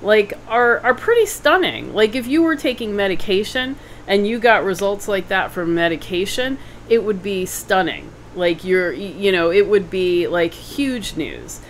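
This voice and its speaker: A woman's voice: medium pitch, lively intonation, measured pace, hardly any pauses, slight background noise. A middle-aged woman hosting a casual video call from a home room, encouraging and affirming her guest. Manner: encouraging, frequent affirming mm-hmms. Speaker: host. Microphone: video call webcam.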